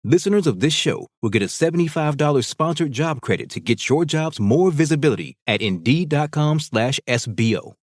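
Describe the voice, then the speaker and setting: A man's voice: on the high side, animated, fairly quick, few pauses, no room sound, studio quality. A man reading a scripted sponsor spot in an upbeat, salesy manner. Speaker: ad announcer.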